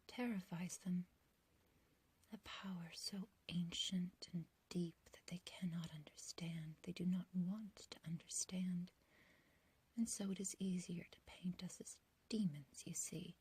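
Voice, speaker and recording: Woman acting a slow, whispering, seductive ancient witch. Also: on the low side, warm tone, full character voice. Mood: bitter, proud. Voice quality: breathy whisper. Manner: slow, theatrical, archaic wording, doting yet condescending. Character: ancient, beautiful yandere witch, obsessive, seductive and menacing. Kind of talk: ASMR roleplay.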